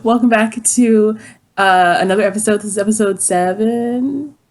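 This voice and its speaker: A woman in her twenties co-hosting a casual podcast, upbeat and quick to laugh, with a groggy morning voice. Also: medium pitch. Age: 20s. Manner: upbeat, laughs easily, repeats herself. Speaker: podcast co-host. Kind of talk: casual chat.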